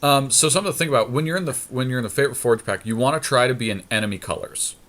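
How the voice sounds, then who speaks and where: A man's voice: medium pitch, very fast, fairly bright tone. A man co-hosting a podcast, giving casual, informal commentary on a card game draft as he thinks aloud.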